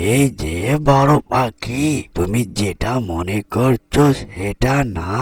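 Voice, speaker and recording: A man's voice: fairly low pitch, breathy edge, no echo, full character voice. A man playing a sly elderly grandfather in a cartoon, with a wheedling, muttering manner. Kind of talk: Bengali cartoon voice acting.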